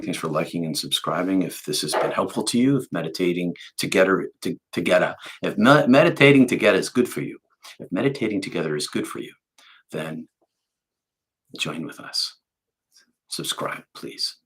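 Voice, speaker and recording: A man with a very deep, husky voice and a faint Boston accent leads a meditation session. He talks in a calm, unhurried, soft-spoken way. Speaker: meditation teacher. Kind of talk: guided meditation talk.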